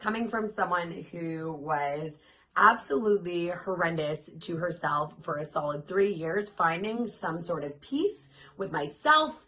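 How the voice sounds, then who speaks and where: Hoarse, raspy-voiced woman fitness coach. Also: on the low side, lively intonation, a little slow, dull audio. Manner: upbeat, chatty and encouraging. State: hoarse, voice worn out. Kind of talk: casual vlog talk to camera.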